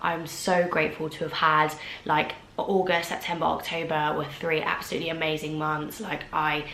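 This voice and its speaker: A woman's voice: low-pitched, level tone, normal speed, fairly bright tone, a little hiss or hum. A young woman chatting casually to camera as a vlogger, with a stuffy nose and lots of filler words. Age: young adult. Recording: roomy echo.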